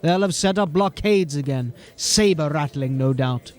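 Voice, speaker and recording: An actor playing a formal, polished watch captain in an audio drama, crisp and measured. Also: male voice, on the high side, lightly acted. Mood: composed, formal.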